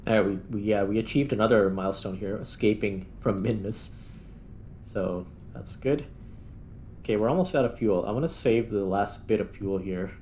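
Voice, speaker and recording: Male gaming host, lower register, casual think-aloud play commentary, faint noisy backdrop. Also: normal speed, a little mellow. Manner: thinks aloud while playing. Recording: clear background noise.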